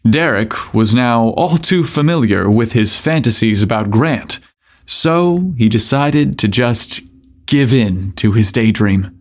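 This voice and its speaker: Slow-paced male story narrator. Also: medium pitch, lively intonation. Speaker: podcast narrator. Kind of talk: scripted story narration, audiobook-like.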